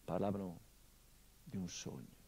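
A male actor delivering a theatrical monologue as a son remembering his father, measured and intimate, swelling into urgency. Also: low-pitched, warm tone, a little hiss or hum.

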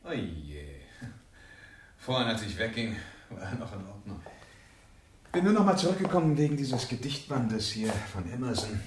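A low-voiced, calculating male villain in a radio drama, passing himself off as a friendly gentleman. His delivery swings widely between offhand remarks and sly innocence, recorded in a reverberant room with noise. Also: very animated, normal speed. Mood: feigned ease. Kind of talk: German radio play acting. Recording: roomy sound with clear noise.

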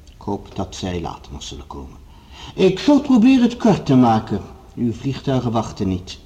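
An actor playing a commanding project leader, speaking formally and with measured authority in a radio drama. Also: male voice, medium pitch, wildly swooping pitch, mellow. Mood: formal, authoritative. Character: commanding male project leader addressing assembled scientists. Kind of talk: radio drama acting, formal speech-making.

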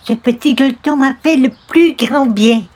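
A man's voice: very high-pitched, moderate pace, darkish tone, pitched above the performer's own voice. A man voicing a meek, courteous, solemn religious caller in a radio comedy, formal and humble. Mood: grateful. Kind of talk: old-time radio comedy acting.

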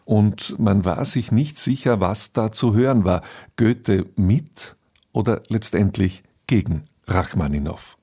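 A man's voice: lowish voice, takes it slowly, warm tone, slightly rough. A man presenting scripted programme announcements on the radio, composed and articulate.

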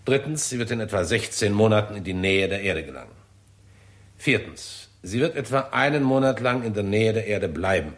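A man acting as an observatory director with a fairly low voice. He is authoritative and official, setting out each point deliberately and in order, in sixties radio drama style. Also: close to an everyday voice.

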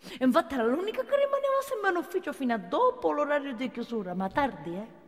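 A woman's voice: high-pitched, sing-song, fast. An actress playing a naive, cheerful working-class office woman in a comic stage monologue, with a rambling manner that keeps seeking agreement. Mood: confiding. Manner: rambling, repeats herself, seeks agreement. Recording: reverberant hall, faint background noise.

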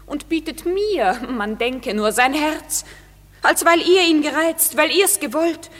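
A plaintive young woman in an old radio play. Her voice is high, and it rings in a reverberant hall. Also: expressive, slow pace, fairly bright tone, heavily acted. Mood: wounded. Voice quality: plaintive, wounded. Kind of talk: classic radio play acting.

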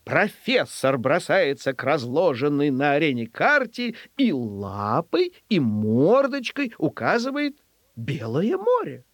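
Animated male storyteller, swooping pitch. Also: unhurried, hardly any pauses, no echo, studio quality. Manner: theatrical, animated. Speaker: narrator, reader of children's stories. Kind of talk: expressive first-person storytelling.

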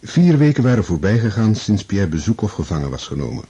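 A solemn narrator of a war epic, with a very low, dark voice. The delivery is measured radio drama storytelling, heard on a dull, muffled recording. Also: male voice, sing-song, slightly rough, full character voice. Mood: grave, measured.

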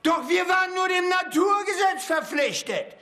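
An actor in a German radio drama playing a boastful, ruthless western killer, speaking with sneering, emphatic scorn. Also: male voice, extremely high pitch, expressive, moderate pace, bright, forceful tone, heavily acted. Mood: scornful, boastful. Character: boastful, ruthless old-west hired killer.

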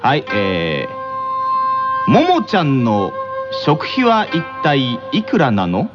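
A man's voice: medium pitch, wildly swooping pitch, slow. A man on a radio show trading casual, jokey banter and reading out listener verses. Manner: jokey, colloquial. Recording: dull radio audio, loud music behind.

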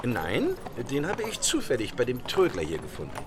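A man's voice: medium pitch, wildly swooping pitch, moderate pace, background noise. An actor playing a stubborn, indignant middle-aged teacher who refuses to give up his desk. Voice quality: huffy, indignant. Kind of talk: radio play acting.

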